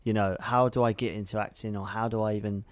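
A man's voice: fairly low pitch, fairly even intonation, quick pace, dry recording. A man hosting a casual podcast chat, eager and affirming, leaning on "you know" and "like".

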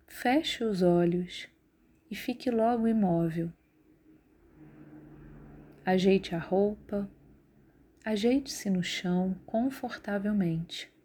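Slow, mellow woman guiding relaxation. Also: mid-range voice, slightly rough. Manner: calm, measured, instructive. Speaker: yoga nidra instructor. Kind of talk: guided relaxation meditation narration.

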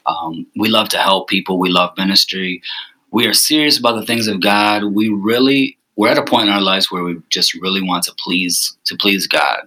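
A man with a low voice, a podcast guest talking earnestly and making an effort to speak up. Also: fairly even intonation, normal speed, pushed and bright, low-quality recording. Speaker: guest, ministry co-founder. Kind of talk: casual podcast conversation.

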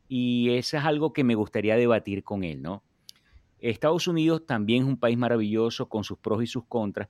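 A male interview host with a low voice, talking in a relaxed, halting way full of fillers and restarts. The sound is dry and close, with little room around it. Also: no room sound. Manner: hesitant, many fillers and restarts. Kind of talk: casual interview.

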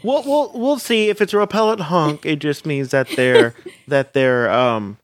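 A man's voice: a little high, expressive, a little slow, no room sound. A man chatting casually as co-host, rambling and hesitant, full of fillers. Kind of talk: casual chat.

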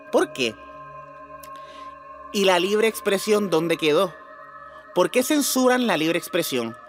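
High-voiced man hosting over music. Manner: rhetorical questioning of listeners. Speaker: podcast host. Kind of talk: scripted commentary to listeners. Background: background music.

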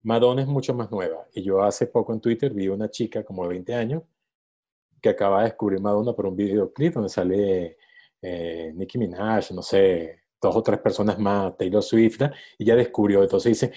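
Un hombre de unos sesenta años, productor de cine invitado a un podcast, que piensa en voz alta con muchas muletillas. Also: male voice, on the low side, brisk, mellow. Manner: thinking aloud, many fillers. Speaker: film producer guest. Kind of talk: casual podcast interview.

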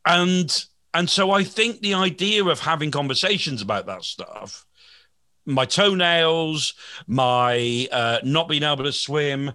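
A man's voice: fairly high pitch, slow pace, bright, forceful tone, dry recording, studio quality. A man in his forties, a comedian hosting a podcast, chatting in a rambling, self-deprecating way with frequent restarts. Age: 40s. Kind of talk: casual podcast chat.

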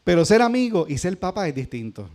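Man, Puerto Rican accent, pastor, conversational sermon, high voice, asks questions. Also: big pitch swings, fairly quick, darkish tone. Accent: Puerto Rican Spanish. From Puerto Rico.

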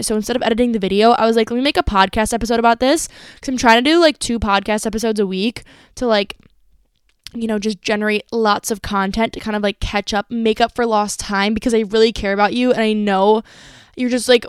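A woman's voice: medium pitch, clear, resonant tone, no echo, studio quality. A young woman hosting her own podcast in casual chat, playful and chatty, swearing freely. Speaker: podcast host.

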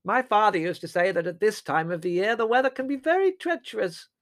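A dotty elderly aunt, high in pitch. She is placid, cheerful and sweetly oblivious, a vague old relative in a comic storybook. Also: lively intonation, fairly quick, fairly bright tone. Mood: beaming, oblivious.

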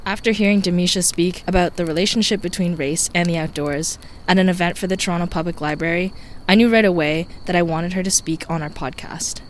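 A woman's voice: lowish voice, fairly even intonation, brisk, slight background noise. A woman host reading a scripted podcast introduction in an upbeat way. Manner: upbeat, read-out delivery.

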